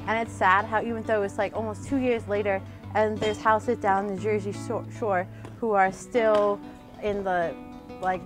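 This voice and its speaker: Young woman volunteer, mid-pitched, guitar under. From Queens, New York.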